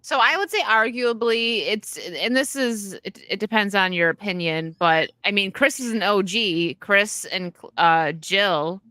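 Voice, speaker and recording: Bright, blunt woman co-host. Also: medium pitch, no echo. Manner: blunt, quick to laugh. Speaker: podcast co-host. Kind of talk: casual podcast chat.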